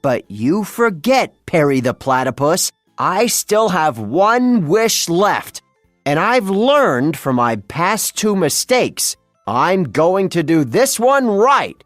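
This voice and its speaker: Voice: mid-range voice, very animated, takes it slowly, no echo, clean studio sound, soft background music. A storybook reader voicing a bumbling, theatrical male cartoon mad scientist who scheme-talks in a grandiose, rambling, exasperated way. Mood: indignant, determined.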